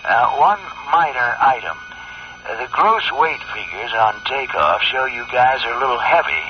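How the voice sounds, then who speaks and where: A man playing a brisk, businesslike mission control chief in a radio drama, heard through a radio transmission filter. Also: medium pitch, big pitch swings. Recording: tinny radio link with static noise.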